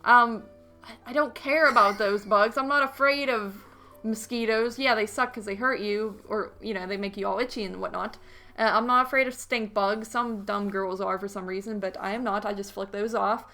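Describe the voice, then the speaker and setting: A woman's voice: mid-range voice, fairly even intonation, normal speed, pushed and bright, faint music behind. A woman co-hosting a podcast in casual banter, with a blunt, argumentative way of talking. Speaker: podcast co-host.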